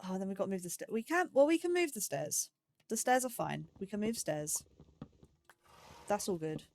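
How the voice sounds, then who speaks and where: A woman hosting a slow game series, chatting to herself as she builds, with phrasing and startled yelps when danger appears. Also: medium pitch, animated, gravelly, darkish tone. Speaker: let's play host. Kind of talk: casual gameplay commentary.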